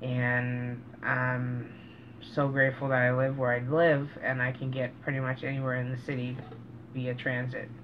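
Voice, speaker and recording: A middle-aged man talking casually and reflectively to camera as a vlogger, earnest and halting, pausing mid-sentence, in a small room indoors. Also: mid-range voice, monotone. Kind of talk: casual, reflective vlog talk. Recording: dull, noisy home recording.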